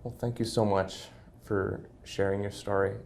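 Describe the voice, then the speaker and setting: A man host speaking in a soft-spoken, sincere way as he thanks a guest and closes the show. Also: on the low side, little pitch movement, moderate pace, gravelly, background noise.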